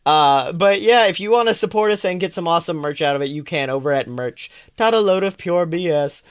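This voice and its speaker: Male podcast host, slightly high and crisp, casual, slangy and excitable. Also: a little fast. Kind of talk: casual chat.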